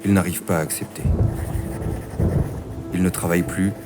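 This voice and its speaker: A man playing a calm, concerned therapist in an audio thriller, speaking in a measured, reassuring and earnest way. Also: low voice, loud music behind the voice, natural voice. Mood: grave, worried. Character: calm, concerned male therapist treating a grieving patient. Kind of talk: dramatic audio thriller acting and narration.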